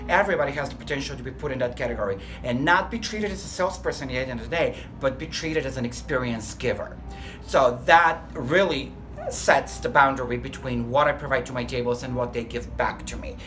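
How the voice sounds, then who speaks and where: Man, Bulgarian accent, earnest, restaurant trainer being interviewed, mid-pitched, faint background music. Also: a little fast, fairly bright tone. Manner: earnest, enthusiastic, with a few self-corrections. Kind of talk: workplace interview.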